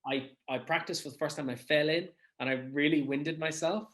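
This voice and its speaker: A man's voice: on the high side, fairly even intonation, a little fast, fairly bright tone. A man who performs in pantomime, telling anecdotes in a casual chat.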